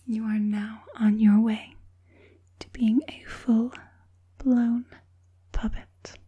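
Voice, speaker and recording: A woman playing a sinister, coaxing witch puppet master in a whispered ASMR roleplay, speaking softly and deliberately in a breathy whisper. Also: fairly high pitch, exaggerated voice. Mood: gleeful, hushed. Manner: slow, soothing, deliberate, menacingly sweet.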